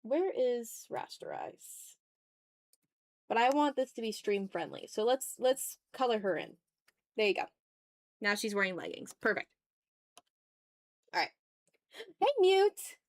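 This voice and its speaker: A woman's voice: on the high side, sing-song, a little slow, pauses often, no echo. A woman streamer talking casually and informally to her chat while she draws, in a rambling, off-the-cuff way. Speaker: art streamer and ASMR YouTuber hosting a livestream.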